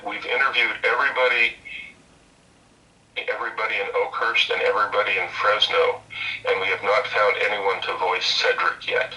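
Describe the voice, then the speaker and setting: A middle-aged man with a deep voice telling a rambling story on a video call, repeating words as he goes. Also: sharp tone, distant mic, rough recording. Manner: rambling, repeats words, quotes others in his own voice. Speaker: interview guest, former game programmer and voice actor. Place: home room with bookshelves, indoors.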